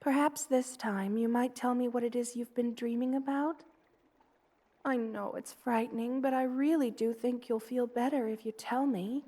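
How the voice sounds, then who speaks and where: A woman voicing a gentle, loving spider queen in an ASMR roleplay, with a tender, soothing and gently formal way of speaking. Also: fairly high pitch, steady tone, moderate pace, close to an everyday voice. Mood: gentle concern.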